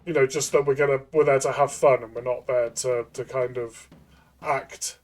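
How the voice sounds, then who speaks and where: A man with a mid-range voice talks casually as co-host of a review discussion. His delivery is thoughtful and a little halting, as he works through his opinions in conversation. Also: mid-range voice, steady tone, faint music behind. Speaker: co-host of a tabletop game review show.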